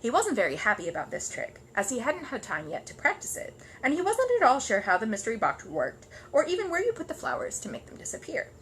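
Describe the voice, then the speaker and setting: A woman reading a children's story aloud in animated storybook narration. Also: on the high side, quick pace, few pauses, slight background noise, low-quality recording.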